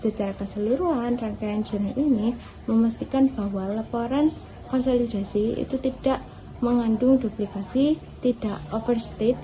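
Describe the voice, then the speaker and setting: A woman's voice: fairly high pitch, moderate pace, mellow, poor audio. A young adult woman giving a scripted student presentation in a formal, read-out manner.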